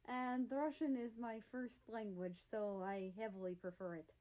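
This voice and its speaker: A woman's voice: a little high, steady tone, unhurried, a little mellow, lightly acted, rough recording. A woman voicing a shy, easily flustered young man who stammers and hesitates.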